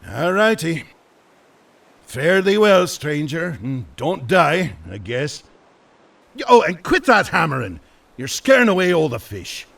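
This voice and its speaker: An actor playing a gruff but kindly old fisherman in a fantasy audio drama, with a folksy, muttering, rustic way of talking. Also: fairly high pitch, very animated, slow, a bit bright, recorded from a distance, exaggerated voice. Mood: grudgingly kind.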